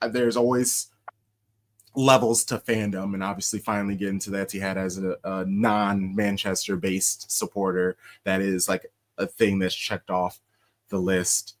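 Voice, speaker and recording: Lively American man on a podcast. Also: low-pitched, moderate pace. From United States. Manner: animated storyteller, laughs often, shouts out quoted chants. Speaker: podcast host. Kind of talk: casual podcast chat.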